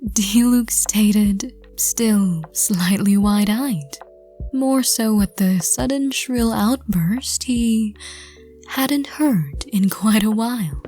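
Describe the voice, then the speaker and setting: A woman reading scripted second-person story narration in a soft, gentle, hushed voice. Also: mid-range voice, extremely slow, faint music behind. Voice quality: soft, breathy. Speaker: narrator and audio roleplay reader.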